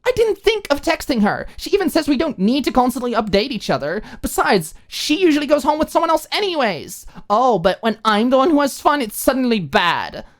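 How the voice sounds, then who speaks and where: A woman's voice: sits high, big pitch swings, a little fast, hardly any pauses, pitched above the performer's own voice. A woman voicing an indignant young female student, huffy and exasperated, in an audiobook drama. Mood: indignant ranting. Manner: huffy, exasperated, rhetorical questions. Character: Maud, indignant young woman student ranting at her roommate.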